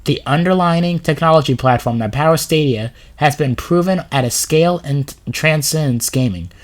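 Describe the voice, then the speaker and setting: A man's voice: on the high side, moderate pace. A man with a sore throat and a runny nose giving casual gaming commentary over a screen recording, reading a blog post aloud and rambling with fillers and restarts.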